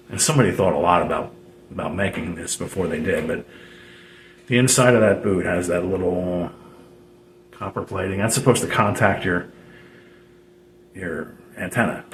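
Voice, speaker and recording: Low-voiced man explaining a DIY fix, roomy sound. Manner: hesitant, rambling, repeats phrases. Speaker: DIY radio hobbyist presenting a tutorial. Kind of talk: unscripted casual how-to explanation. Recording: roomy echo with background noise.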